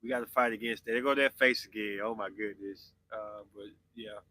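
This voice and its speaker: A man's voice: on the low side, fairly even intonation, a little fast, bright, forceful tone. A man in his forties hosting a podcast, chatting casually with live viewers and answering their comments. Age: 40s. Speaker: podcast host.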